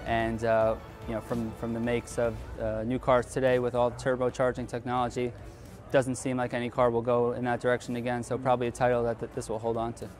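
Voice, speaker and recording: Guy in his 30s, car auction expert, pretty monotone, measured w/ pauses, interview chat, light music. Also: medium pitch. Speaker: auction house car specialist. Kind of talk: interview explanation. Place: large tent with cars on display.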